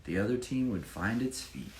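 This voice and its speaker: A low-voiced man reads a children's rhyming book aloud as its author, swinging his pitch widely for emphasis. He takes his time and adds informal asides. The room is very echoey. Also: expressive, moderate pace. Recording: echoey room, faint background noise.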